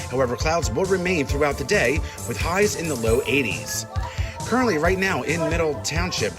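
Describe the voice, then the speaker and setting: A man hosting live college radio as a DJ, with upbeat presenter patter. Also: medium pitch. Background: music.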